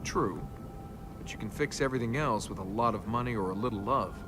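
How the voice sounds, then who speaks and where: A man's voice: fairly low pitch, fairly quick, music in the background, lightly acted. An actor playing a flippant, sardonic young male adventurer in a video game, talking casually. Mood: wry, casual. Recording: clear background noise.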